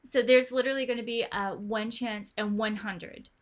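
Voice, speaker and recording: A woman's voice: a little high, steady tone, moderate pace. Chatty woman coaching a study group. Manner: encouraging, chatty, many fillers and restarts. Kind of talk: online tutoring session.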